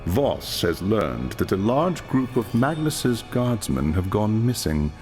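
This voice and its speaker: A man's voice: lowish voice, sing-song, slow pace, darkish tone. An actor playing a stern elder male elf lore master, grave and commanding as he gives orders. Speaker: quest giver. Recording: reverberant with game music behind.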